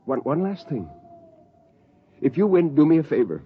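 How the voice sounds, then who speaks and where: A man's voice: fairly high pitch, very animated, normal speed, husky. Intense renegade spaceman, swooping delivery. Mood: pleading, wry. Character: doomed, wry renegade spaceman, adult man. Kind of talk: 1950s radio drama acting. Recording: dull, noisy vintage radio recording.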